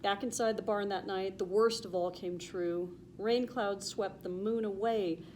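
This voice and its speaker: An American woman reading a children's storybook aloud with expressive, emphatic, sing-song rhyming delivery. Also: medium pitch, a little slow, slight background noise.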